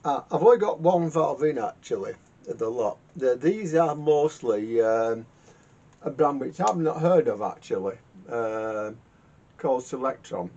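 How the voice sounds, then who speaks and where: A man giving casual hands-on repair commentary in a halting way, muttering to himself and repeating words. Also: lively intonation, mellow. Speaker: vintage radio repairer hosting a workshop video. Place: garage workshop. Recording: muffled lo-fi sound with rumbling noise.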